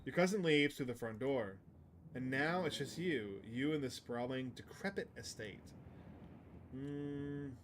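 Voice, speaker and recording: A man's voice: mid-range voice, animated, moderate pace, background noise. A man live streaming a game, chatting to his viewers and reading the on-screen text aloud. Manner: chatty, addresses stream chat.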